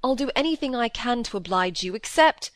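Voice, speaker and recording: A woman voicing a proud, touchy young gentleman in an audiobook, speaking formally and politely. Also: fairly high pitch, animated, fairly quick, no echo, clean studio sound, voice pushed up, natural voice. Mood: weary, placating. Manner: formal, polite, stiffly indignant. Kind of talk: audiobook character dialogue.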